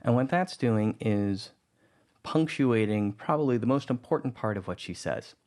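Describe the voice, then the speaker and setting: A man's voice: mid-range voice, expressive, moderate pace, a little mellow. A man giving an informal, conversational tutorial voice-over, explaining things as a producer. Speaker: audio drama producer, tutorial presenter.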